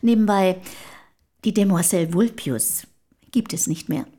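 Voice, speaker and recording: An actress in a German radio play playing a proud, self-assured middle-aged wife of a poet, speaking in formal, measured period diction. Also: female voice, medium pitch, mellow, natural voice. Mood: cool, proud.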